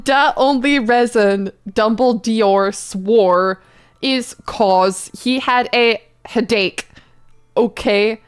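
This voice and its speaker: A woman's voice: on the high side, drawn-out words, smooth. A woman doing a comedic dramatic reading as a streamer, giggly and stumbling, with casual asides. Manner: giggly, stumbles over the text. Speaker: streamer reading fan fiction aloud.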